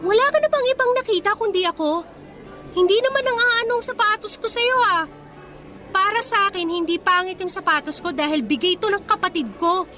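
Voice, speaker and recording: Poor teen schoolgirl in a radio drama, super high voice, earnest n determined, bit of music behind. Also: a little fast, sharp tone. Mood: hurt, defiant. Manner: earnest, emotional delivery. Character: poor, determined teenage schoolgirl, kind but defiant. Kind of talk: Filipino radio drama acting.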